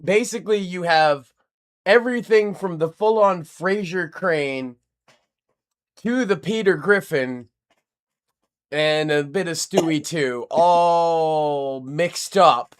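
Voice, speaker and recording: A man's voice: on the high side, slow, smooth. A middle-aged man from New England, a podcast host chatting casually, rambling and swearing freely. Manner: profane, rambling storyteller. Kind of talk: casual chat.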